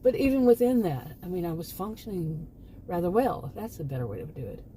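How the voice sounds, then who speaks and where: A woman in her late fifties talks to camera in a rambling, exasperated way, circling back through asides and restarts. Her voice sits a little low and sounds mellow. A faint hiss runs beneath it. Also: sing-song, brisk. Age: 50s. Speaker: vlogger. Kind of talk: casual chat to camera.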